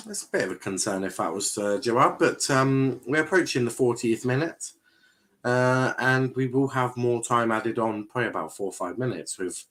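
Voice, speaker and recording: A man's voice: lowish voice, a bit bright. A young English man streaming a match watchalong, chatting casually, rambling and swearing as he reacts to the play. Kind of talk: casual live match commentary.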